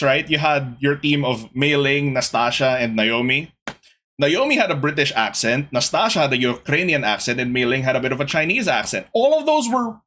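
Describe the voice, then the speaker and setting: A young man hosting a casual gaming podcast, talking to his chat in an animated, exasperated way. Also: fairly high pitch. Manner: animated, exasperated ranting with laughs. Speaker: podcast host.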